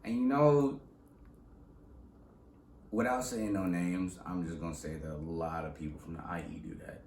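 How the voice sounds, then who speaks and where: A young man with a very low voice chats about where he comes from in a casual, slangy way. He speaks in an echoey room, with a faint background hum behind him. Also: moderate pace. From Southern California. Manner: slangy, animated storytelling with laughs. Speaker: rapper guest. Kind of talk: casual interview chat.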